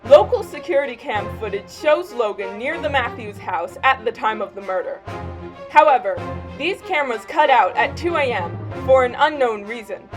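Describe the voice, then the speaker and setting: A woman reading scripted true crime narration in a matter-of-fact storytelling way. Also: a little high. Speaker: narrator and host of a mock true crime series. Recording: music behind the voice. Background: background music.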